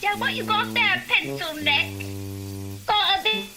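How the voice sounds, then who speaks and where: A woman's voice: very high voice, animated, unhurried. A woman playing a cheeky, mocking young street rowdy in a radio drama. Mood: taunting. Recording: music behind the voice.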